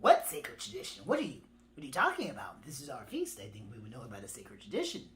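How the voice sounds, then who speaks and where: A woman voicing excitable, gullible birds in a folktale, with a gushing, dramatic delivery. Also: very deep voice, quick pace, voice pushed down, full character voice. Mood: confused. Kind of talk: animated oral storytelling.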